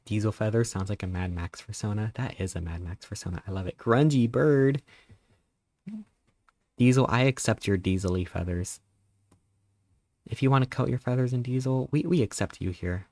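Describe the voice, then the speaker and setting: A man in his thirties, a live streamer chatting casually and greeting viewers, rambling playfully with sudden squeaky jumps in pitch. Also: moderate pace, a little mellow, no echo. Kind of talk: casual live-stream chat reading chat messages.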